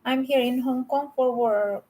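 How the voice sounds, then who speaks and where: High-voiced Filipina live stream host. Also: female voice, steady tone, measured pace, dark tone, poor audio. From Philippines. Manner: chatty, greets viewers by name, patient with her child. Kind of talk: casual live stream chat.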